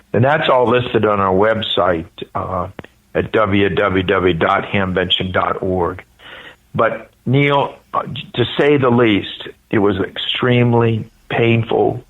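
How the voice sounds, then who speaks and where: Older American male, event spokesperson in interview, creaky delivery, earnest and hesitant, narrow telephone sound. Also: lowish voice. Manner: halting, earnest. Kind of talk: live phone interview on a radio show. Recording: tinny narrow phone line.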